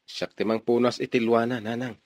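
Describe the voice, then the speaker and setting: An actor with a Filipino accent playing a devoted, determined young lover in a radio drama, speaking earnestly. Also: male voice, mid-range voice, flat delivery, brisk, darkish tone, no echo, natural voice.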